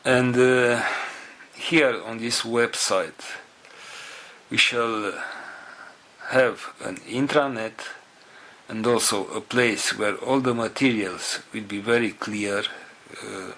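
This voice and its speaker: A man leading a group, making organizational announcements in halting, non-native English broken into short phrases with pauses. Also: medium pitch, fairly even intonation, a bit bright.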